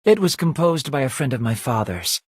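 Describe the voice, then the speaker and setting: An actor playing a refined, reserved gentleman musician in a video game, speaking in a genteel, formal and guarded way. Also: mid-range voice, big pitch swings, moderate pace, slightly rough, dry recording, studio quality. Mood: polite, guarded. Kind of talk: scripted game dialogue.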